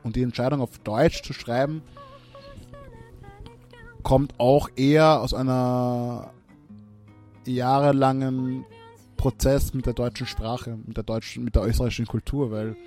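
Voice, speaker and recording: A musician, answering interview questions in a reflective way, with many restarts and fillers. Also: male voice, mid-range voice, flat delivery, unhurried, long pauses, darkish tone, soft background music. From Austria. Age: 30s.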